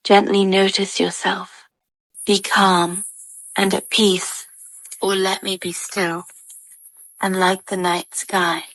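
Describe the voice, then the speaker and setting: A woman leading a guided meditation with soothing, calm narration in short, measured phrases and gentle pauses. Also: fairly low pitch, no room sound, low-quality recording.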